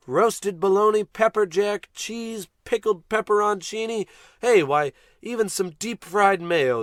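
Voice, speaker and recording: Man acting spaceship captain, American, pompous, callous, bossy, grandiose comic radio acting, higher-set male voice, dry sound. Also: no room sound. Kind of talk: comic old-time radio play acting.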